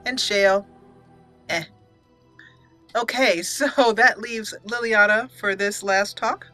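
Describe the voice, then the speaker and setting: A woman's voice: medium pitch, slow pace, pushed and bright, faint music behind. A woman hosting a casual, chatty roleplay let's play, sometimes reading dialogue theatrically. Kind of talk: casual game commentary with roleplay.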